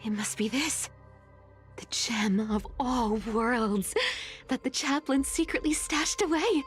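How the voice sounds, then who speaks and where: A woman's voice: on the high side, takes it slowly, husky. A woman playing a sly, gleeful young thief in a video game, muttering theatrically to herself as she schemes. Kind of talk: video game character acting. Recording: music behind the voice.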